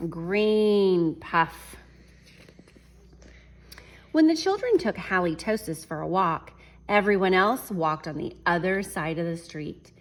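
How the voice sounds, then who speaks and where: A woman teacher reading a picture book aloud to children, animated and chuckling, pausing to talk to her class. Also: fairly low pitch. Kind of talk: children's read-aloud storytime.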